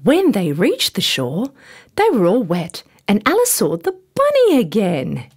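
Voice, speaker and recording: A woman reading a scripted bedtime story for children in an expressive, deliberate storytelling manner. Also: medium pitch, breathy edge. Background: background music.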